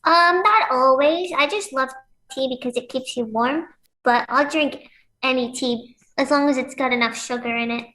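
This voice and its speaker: A woman's voice: sits high, moderate pace, bright, forceful tone, roomy sound, rough recording. A young woman from Lebanon, a pro wrestler, chatting casually as an interview guest and laughing often. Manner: giggly, upbeat, laughs often.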